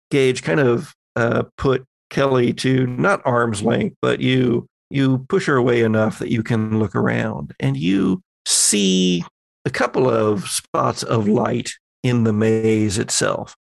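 Male game master, average pitch, heavy vocal fry, dry close sound, horror tabletop narration. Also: slow pace, dry recording.

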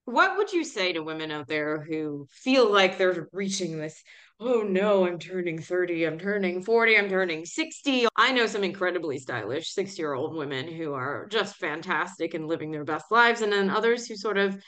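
Lower-voiced woman in her forties hosting. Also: expressive, moderate pace. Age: 40s. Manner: warm, affirming, frequent agreement sounds. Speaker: podcast host. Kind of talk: podcast interview.

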